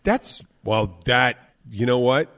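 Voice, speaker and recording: A man's voice: mid-range voice, expressive, takes it slowly, fairly bright tone. Fifties guy hosting a podcast, rambly, does little voices for quotes. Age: 50s. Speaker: podcast host, bartender. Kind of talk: casual chat.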